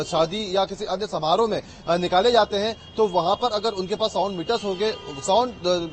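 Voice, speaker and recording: Male reporter, notably high voice, halting live analysis, faint background noise. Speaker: news correspondent. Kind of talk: unscripted live news analysis.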